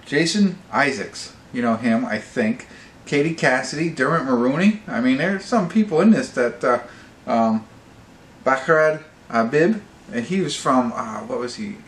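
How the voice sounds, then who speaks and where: A man hosting a casual, unscripted movie review, talking in a halting, rambling way and often correcting himself. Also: medium pitch, lively intonation. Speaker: movie review channel host. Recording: echoey room with faint noise.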